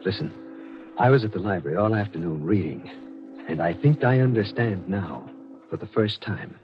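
A man's voice: lowish voice, lively intonation, normal speed, over music, close to an everyday voice. A man acting in a 1940s radio mystery as an earnest, urgent young American sweetheart, melodramatic and emphatic. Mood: earnest reassurance. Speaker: radio actor. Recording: muffled old radio recording.

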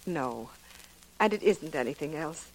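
A woman's voice: low voice, animated, unhurried, full character voice, rough recording. A woman playing a genteel, anxious wealthy wife in 1940s radio drama, earnest, formal and hesitant. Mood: anxious, pleading.

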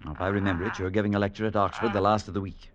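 An actor playing a concerned, resolute priest who narrates, earnest and firm, in a late 1940s radio drama. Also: male voice, lowish voice, level tone, very fast, lo-fi sound, crowd noise, natural voice. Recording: vintage radio broadcast with clear music.

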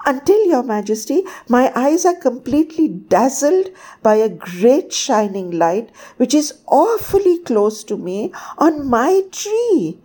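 A woman voicing a tiny, timid male sparrow with a squeaky chirp, frightened and stammering in a storybook reading. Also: very animated, takes it slowly, warm tone, breathy edge, heavily acted. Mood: awed, alarmed. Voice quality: squeaky, chirruping. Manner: breathless repetition, stammering pleas.

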